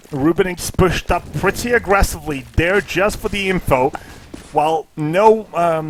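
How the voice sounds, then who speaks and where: A man casting a live esports match, excitable at big plays, with casual, jokey asides. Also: slight background noise. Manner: excitable, rises in pitch and volume at big plays. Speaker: esports caster. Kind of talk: live play-by-play commentary.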